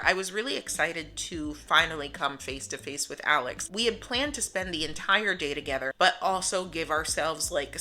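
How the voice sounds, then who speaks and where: An American woman in young adulthood telling the earnest story of how she met her husband, laughing often and acting out what people said. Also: deep voice. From United States. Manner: earnest, animated, laughs often, voices her own quotes. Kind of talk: storytime narration.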